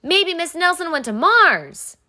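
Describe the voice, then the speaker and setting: Storybook schoolkids, played by a woman: young, eager, exclaiming, pitched high with lively swings. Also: measured pace, heavily acted. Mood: earnest guessing. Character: excitable group of young schoolchildren, boys and girls.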